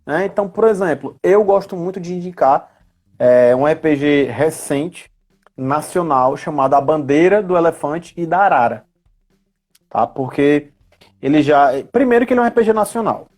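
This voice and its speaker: A man's voice: fairly high pitch, expressive, normal speed, a little mellow. A man with a Brazilian accent speaking as a guest expert in a casual live chat, explaining didactically with frequent tag questions. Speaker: guest expert on tabletop role-playing games.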